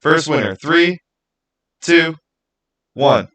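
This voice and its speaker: A man's voice: fairly high pitch, animated, drawn-out words, a bit bright. A man hosting a livestream, chatting casually and informally straight to his viewers. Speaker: live stream host running a giveaway.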